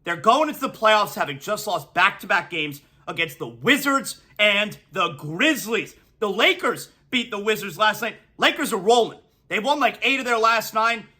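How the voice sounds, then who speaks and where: A man in his forties hosting a solo sports podcast, talking in an energetic, excitable way and reading sponsor spots between riffs on the games. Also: high voice, normal speed, few pauses. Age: 40s. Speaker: sports talk host.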